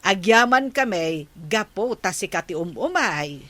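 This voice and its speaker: A woman playing a patient, sensible village housewife in an Ilocano comedy radio drama, reasoning in drawn-out phrases with an Ilocano accent. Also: medium pitch, animated, fairly bright tone, no room sound. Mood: polite, puzzled.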